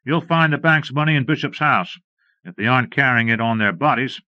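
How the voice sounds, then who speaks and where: A man's voice: medium pitch, fairly quick, no room sound, voice pushed up, close to an everyday voice. A man voicing a stern, terse Texas Ranger in a Western audiobook, with commanding, clipped delivery. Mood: matter-of-fact. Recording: dull lo-fi cassette transfer.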